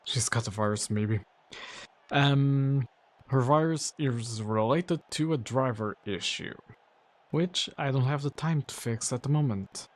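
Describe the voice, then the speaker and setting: Slow, easygoing male game streamer. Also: medium pitch, slight background noise. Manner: relaxed, rambling with many fillers. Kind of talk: casual gameplay commentary.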